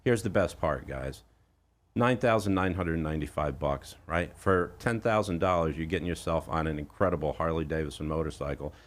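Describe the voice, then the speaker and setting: A man's voice: rumbling low, moderate pace. A man hosting a radio show, with conversational, emphatic sales patter. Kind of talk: radio hosting and live ad reading.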